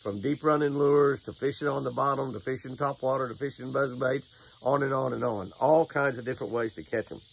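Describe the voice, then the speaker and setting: A man's voice: mid-range voice, brisk, vocal fry, a little hiss or hum, rough recording. An older American man outdoors by a lake, giving a folksy devotional and fishing tip, repeating phrases for emphasis. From United States. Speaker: devotional host and fishing angler. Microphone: camera at close range.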